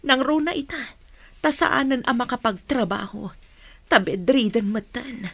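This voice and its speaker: A woman's voice: on the high side, a little slow, fairly bright tone, dry recording. A woman playing a caring, troubled working mother in radio drama dialogue.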